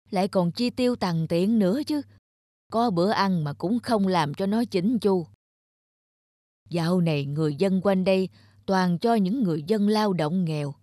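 A woman's voice: fairly low pitch, a little mellow, no echo, clean studio sound. A woman voicing a grumbling, aloof older man in a cartoon, muttering disapproval. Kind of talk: cartoon voice acting.

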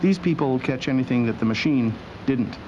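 A man with a medium-pitched voice presents a scripted documentary in a wry, deadpan way. The sound is dull and lo-fi, like an old video soundtrack, with a clear hum of machinery behind him. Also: normal speed. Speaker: host and narrator. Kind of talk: scripted documentary narration with dry humor.

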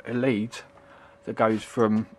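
A man's voice: lowish voice, flat delivery, takes it slowly. A middle-aged man giving a casual, unscripted tutorial in a rambling, hesitant way, often repeating himself. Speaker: hobbyist demonstrating retro computing. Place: indoors at a home computer desk. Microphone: handheld camera's built-in microphone.

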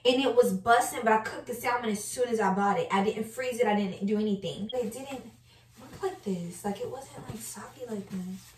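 A woman's voice: fairly high pitch, moderate pace. A woman in her early twenties chatting casually and candidly to viewers as she cooks, with informal, slangy speech. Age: early 20s. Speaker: cooking vlogger. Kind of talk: casual chat to viewers while cooking. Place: home kitchen, indoors. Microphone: camera on counter, a few feet away. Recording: roomy, distant kitchen recording with background noise.

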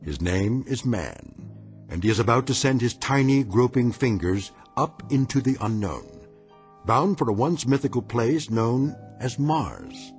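A man giving measured, ominous, scripted narration for a radio drama. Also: mid-range voice, lively intonation, soft background music.

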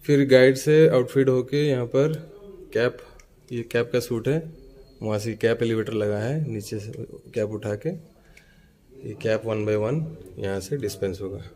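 A man's voice: mid-range voice, a little slow, warm tone. A man from India walking through a large indoor factory with a handheld phone, explaining each machine of a bottling plant step by step. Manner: explanatory, points things out step by step to the viewer. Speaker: machine maker's representative. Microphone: handheld phone camera while walking.

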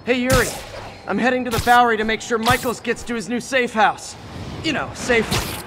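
A man's voice: extremely high pitch, brisk, noisy background. An actor playing an earnest, friendly young man in a video game, with a casual, upbeat manner. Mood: wry, energetic. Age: young adult.

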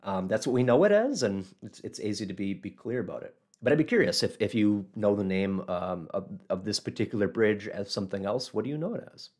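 Hoarse man hosting a history livestream. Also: on the low side, expressive, brisk, hardly any pauses, a little mellow. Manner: rambling, many ums and restarts. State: hoarse, scratchy voice from a cold. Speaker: local history host. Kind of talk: casual livestream talk.

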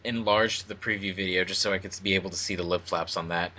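A man's voice: low-pitched, fairly even intonation, fast talker, bright, forceful tone. A man chatting as an editor on a casual commentary track, often repeating words as he starts.

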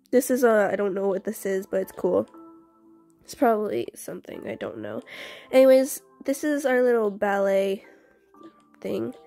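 A woman's voice: fairly high pitch, mellow. A young woman doing a casual, chatty house-tour voice-over for a game video, often interrupting herself in an informal way. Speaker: video creator.

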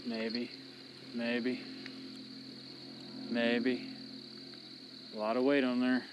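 A young man in a casual fishing vlog, thinking aloud as he works the line on a river beach. He has a middle-pitched voice and is recorded on an action camera outdoors. Heavy background noise and insects run under him the whole time. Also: very slow. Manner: relaxed, thinking aloud while reeling in fish. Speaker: fishing channel host. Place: riverbank outdoors, day into night. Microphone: action camera on the body.

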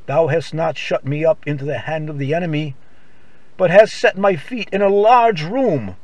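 A man's voice: on the high side, normal speed, no room sound. A man preacher reciting a psalm in a solemn, declamatory old-time gospel radio style. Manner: solemn, declamatory, archaic scriptural delivery.

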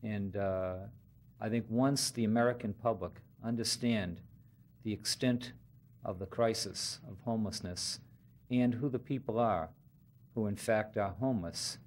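A middle-aged man, a mayor speaking for city officials at a press conference in an indoor press room, deliberate, with many fillers and repeated words. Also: on the low side, a little mellow, a little hiss or hum. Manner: deliberate, many uhs and repetitions.

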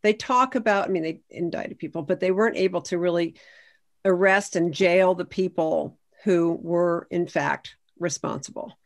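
A woman's voice: lowish voice, normal speed. A woman speaking as a historian on a video call panel, explaining things with emphasis.

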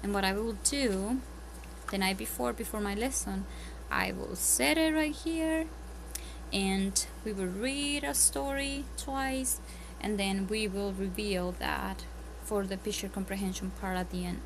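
A woman's voice: medium pitch, measured pace. A homeschooling mother with a Spanish accent, whose first language is Spanish, talking casually and earnestly at home with lots of ums and restarts. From Spanish-speaking country. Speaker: homeschooling parent. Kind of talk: casual tips vlog. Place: home, at a table, indoors. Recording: audible background noise.

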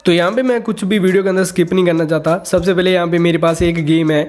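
A man's voice: high voice, steady tone, quick pace, a little mellow. A man hosting a casual step-by-step tech tutorial, mixing English words and stock phrases into his speech.

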